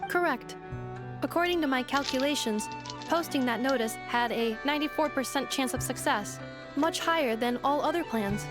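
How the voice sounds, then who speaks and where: A woman's voice: high voice, normal speed, over music, natural voice. A woman voicing an earnest female robot companion in a video game, speaking in clipped, formal, machine-like phrases. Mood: flat, factual. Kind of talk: video game character acting.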